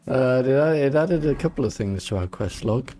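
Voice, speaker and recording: A man's voice: mid-range voice, expressive, moderate pace, dark tone. A man streaming a game with casual commentary, muttering to himself as he thinks aloud.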